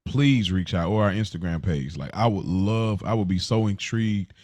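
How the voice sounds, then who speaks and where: Male co-host, very low voice, earnest conversational delivery, dry close recording. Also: moderate pace, a little mellow, no room sound. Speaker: podcast co-host. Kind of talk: casual podcast conversation.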